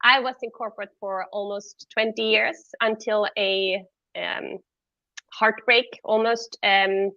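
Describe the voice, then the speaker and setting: A Swedish-accented woman with a bright, forceful voice answers interview questions openly and candidly. The sound is dry and slightly muffled, like call audio. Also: mid-range voice, fairly even intonation, takes it slowly, dry recording. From Sweden. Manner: open and candid, laughing easily. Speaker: guest, entrepreneur.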